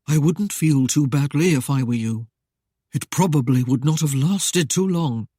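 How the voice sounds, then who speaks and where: A man voicing a cold, aloof nobleman who speaks in a curt, measured way. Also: a little high, mellow, breathy edge, no echo, studio quality. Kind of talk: audiobook character voice.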